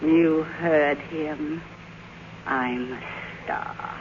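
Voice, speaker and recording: An actress playing an imperious, desperate faded film star of fifty in a theatrical 1950s radio drama style. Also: female voice, low voice, fairly even intonation, extremely slow. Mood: shattered denial. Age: middle-aged. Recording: muffled 1951 radio broadcast, faint hiss.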